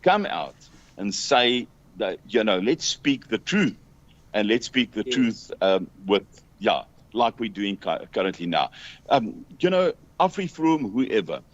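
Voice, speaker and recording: A man's voice: on the low side, very animated, unhurried. South african guy being interviewed, kinda muffled audio, voice swings up and down, earnest and emphatic. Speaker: interview guest. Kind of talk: earnest conversational talk. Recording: dull lo-fi call audio.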